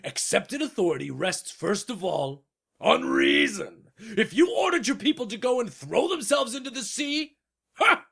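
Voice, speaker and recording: Proud, self-important old king for a storybook tale: raspy, bright and forceful, slow and grand. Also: high-pitched, big pitch swings, exaggerated voice. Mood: imperious, indignant. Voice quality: gravelly, raspy. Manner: pompous, imperious, theatrical delivery. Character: proud, pompous old king of a tiny planet. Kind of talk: theatrical storybook dramatization.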